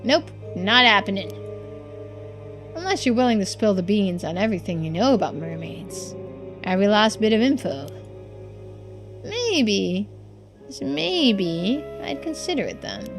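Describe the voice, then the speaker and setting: A woman voicing a gruff, greedy older collector obsessed with immortality, talking bluntly and dismissively in video game dialogue. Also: mid-range voice, big pitch swings, unhurried, pauses often, over music. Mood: smug, obstinate. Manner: dismissive, blunt, folksy speech.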